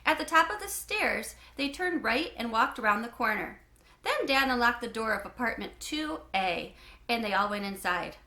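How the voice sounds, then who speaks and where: A woman reads a children's story aloud in a high, bright voice. Her delivery is animated and clearly phrased, with lots of melodic movement, in a roomy, echoey space. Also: normal speed. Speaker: read-aloud host. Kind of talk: expressive children's book narration.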